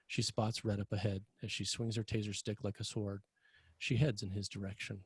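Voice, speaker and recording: A man narrating scene directions for a screenplay table read in a scripted style, with a husky voice. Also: lowish voice, level tone, normal speed, no echo, clean studio sound. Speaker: narrator reading stage directions.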